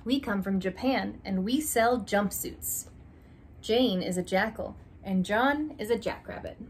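A young woman reads a children's alphabet book aloud in a small room, taking it slow and deliberate. Her voice sits at an ordinary middle pitch, recorded close on a phone or laptop. A faint hum of room ambience runs underneath. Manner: slow, deliberate reading with stressed opening letters. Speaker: reader of a picture book. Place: small room indoors by a curtained window.

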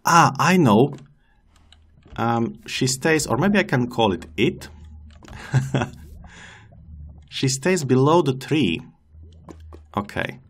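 A man's voice: mid-range voice, lively intonation, slow pace, pauses often. A man whose first language is not English, casually commenting on his gameplay as a let's-play host, chatting to the animals and joking at his own expense. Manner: chatty, talks to the game's animals and laughs at himself.

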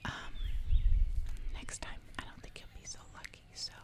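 Whispering young jungle giantess, acted by a woman. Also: natural voice. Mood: matter-of-fact. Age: young adult. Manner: soft, slow, repetitive, chatty. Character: casually menacing female jungle giantess who eats people. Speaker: ASMR roleplay performer. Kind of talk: whispered ASMR roleplay.

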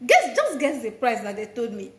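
A woman's voice: a little high, huge pitch swings, normal speed, fairly bright tone. A young woman, chatting to camera while she cooks in her home kitchen. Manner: chatty, talks straight to camera. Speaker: vlogger. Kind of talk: casual chat while cooking. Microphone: phone camera at arm's length. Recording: echoey tiled kitchen.

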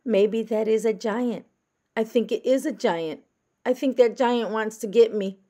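A woman's voice: a little high, measured pace, mellow, voice pushed up. A woman voicing a childlike, imaginative young girl badger who keeps stalling bedtime in a children's storybook. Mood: wary. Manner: pleading, childlike.

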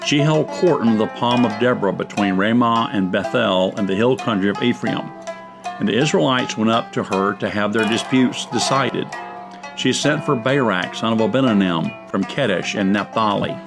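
A man's voice: on the low side, normal speed, gravelly, over music. A man giving scripted storytelling narration for a biblical drama.

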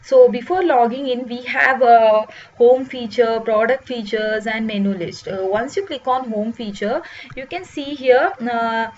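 A woman presenting a food ordering web app, explaining each step with many "uh" pauses. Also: a little high, a little mellow. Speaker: software demo presenter. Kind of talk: screen-recorded product walkthrough. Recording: dull lo-fi voice-over with faint hiss.

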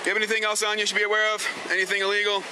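A man's voice: high-pitched, completely flat delivery, rapid-fire, background noise. A man, a police officer giving procedural questions and orders outdoors into a body camera. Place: outdoors on a street at night. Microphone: body-worn camera. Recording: body camera on a night street, music behind.

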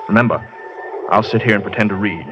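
A man's voice: on the low side, quick pace, close to an everyday voice. An actor playing a charming young man on the run, urgent and wry, in a 1930s radio drama. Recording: lo-fi old radio broadcast with loud hiss.

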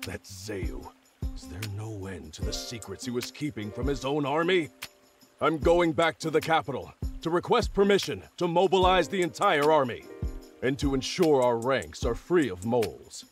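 An actor voicing a dutiful, middle-aged army general in a video game, with formal military phrasing. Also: male voice, medium pitch, animated, moderate pace, soft background music, close to an everyday voice. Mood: indignant, resolute. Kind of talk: English video game voice acting.

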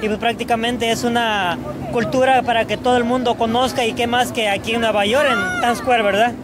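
A young man with a Mexican accent speaks earnestly and steadily, his voice pitched high for a man. He talks into a handheld mic on a crowded city street at night. Crowd chatter and street noise run behind him. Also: fairly even intonation. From Atlixco, Puebla, Mexico. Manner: earnest, measured, tag questions. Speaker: interviewee. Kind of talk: casual street interview. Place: busy city square outdoors at night. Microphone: interviewer's handheld mic.

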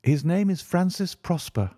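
A man voicing an earnest, pleading young gentlewoman in a storybook reading, polite and genteel. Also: sits high, expressive, unhurried, no room sound, studio quality, voice pushed up. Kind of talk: audiobook character acting.

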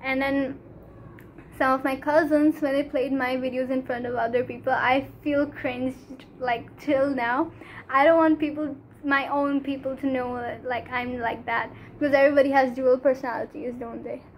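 Teen girl, Pakistani English accent, high-pitched, halting with fillers, phone held at arm's length, faint room hiss. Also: steady tone. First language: Urdu. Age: teens. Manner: earnest, halting, many fillers. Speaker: vlogger. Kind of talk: casual talk to camera. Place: small room indoors. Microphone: phone camera at arm's length.

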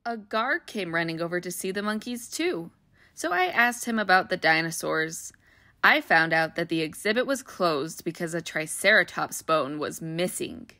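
A woman with a bright, clear voice reads a children's picture book aloud, gentle and expressive for young listeners. The sound is close and dry, with no room around it. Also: fairly low pitch, normal speed, no room sound. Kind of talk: storybook read-aloud.

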